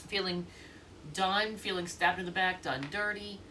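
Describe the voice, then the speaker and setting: A woman's voice: lowish voice, fairly even intonation, measured pace, bright, forceful tone, far from the mic, slight background noise. Congested woman giving a chatty tarot reading. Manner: chatty, rambling, thinks aloud with restarts. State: congested, stuffy nose. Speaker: tarot reader. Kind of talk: casual tarot reading to camera.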